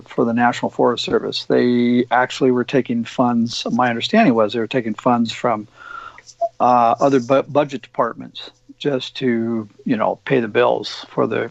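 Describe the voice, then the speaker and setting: A man with a gravelly voice talks loosely, rambling through fillers and restarts. His sound is dull and dry. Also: mid-range voice, fairly even intonation, measured pace, no echo. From Oregon. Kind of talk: casual discussion.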